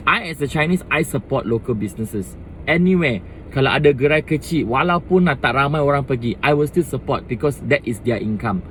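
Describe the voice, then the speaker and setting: A man's voice: medium pitch, a little hiss or hum, poor audio. A young Malaysian man with a Malaysian accent, talking to the camera in a fired-up casual rant and mixing in Malay words. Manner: impassioned, switching between English and Malay. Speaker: vlogger.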